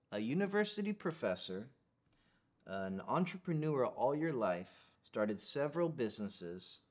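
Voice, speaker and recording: A young adult man hosts a podcast interview. His voice is low-pitched, and he asks open, curious questions and follows up with his own thoughts. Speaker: podcast host.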